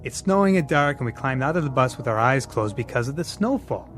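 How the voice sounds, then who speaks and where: An actor playing a measured, deliberate, articulate male bus passenger reasoning aloud in an audio drama. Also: medium pitch, animated, fairly bright tone, faint music behind, natural voice. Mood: probing, reasoned.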